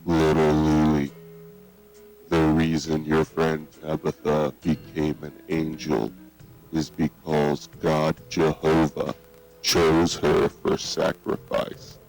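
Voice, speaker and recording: Man acting as old grandfather, cult patriarch, very deep voice, monotone, deliberate, sermonizing, music behind. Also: vocal fry, exaggerated voice, low-quality recording. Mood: solemn. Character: solemn old grandfather, cult patriarch preaching child sacrifice. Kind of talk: slow scripture storytelling in a children's horror tape.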